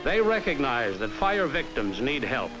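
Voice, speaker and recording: An American man reading a scripted 1950s training film narration in a measured, instructive, carefully enunciated way, on a vintage film soundtrack. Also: mid-range voice, very animated, low-quality recording. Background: background music.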